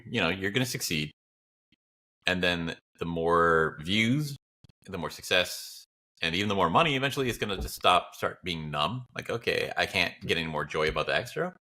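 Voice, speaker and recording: Forceful, bright low-voiced man chatting casually. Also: expressive, moderate pace. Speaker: podcast guest, audio drama creator. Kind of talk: casual chat.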